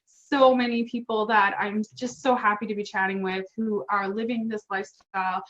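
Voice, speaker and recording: A woman's voice: mid-range voice, fairly even intonation, moderate pace, a bit bright. A middle-aged woman hosting an online interview in a chatty, enthusiastic, casual way. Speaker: host interviewing a guest. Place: remote online call. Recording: dull, lo-fi online call audio.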